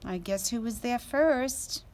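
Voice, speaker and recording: A woman reading a children's story aloud as a storyteller, in an expressive, deliberate way. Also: fairly high pitch, a little hiss or hum.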